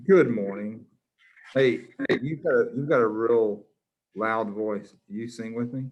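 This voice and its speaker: A man's voice: on the low side, unhurried, muffled recording. A man teaching a class over a video call, joking and teasing his students, with many restarts.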